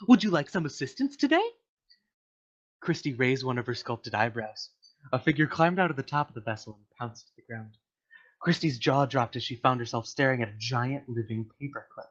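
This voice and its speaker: Male amateur storyteller, mid-pitched, stumbles and corrects himself, lo-fi recording. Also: brisk, fairly bright tone. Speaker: reader of a comedic story to friends in a voice channel. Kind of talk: amateur dramatic story narration. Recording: dull lo-fi voice chat audio.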